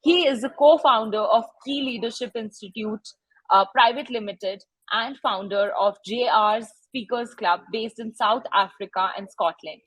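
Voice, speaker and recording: A woman's voice: on the high side, measured pace, breathy edge, no room sound, poor audio. A woman moderating an online session, politely introducing the speaker with frequent fillers. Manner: polite, reads the bio with fillers. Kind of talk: formal introduction.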